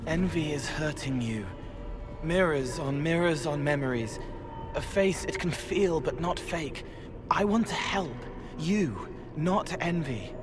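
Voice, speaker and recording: An actor playing a gentle, cryptic young spirit who wants to help, speaking in halting, poetic fragments in a video game. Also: male voice, fairly high pitch, expressive, background noise. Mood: earnest, gentle. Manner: halting, earnest, poetic, rambling. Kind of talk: dramatic video game acting.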